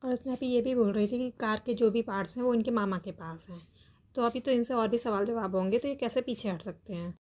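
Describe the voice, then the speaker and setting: High, mellow-voiced woman giving earnest commentary. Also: brisk. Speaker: reaction video host commenting on a family controversy. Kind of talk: opinion commentary addressed to viewers.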